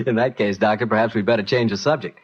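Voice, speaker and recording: A man's voice: lowish voice, fast, husky. An American man announcing on 1940s radio, with a folksy, conversational salesman's manner. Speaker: announcer and sponsor's pitchman. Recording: muffled 1940s radio recording.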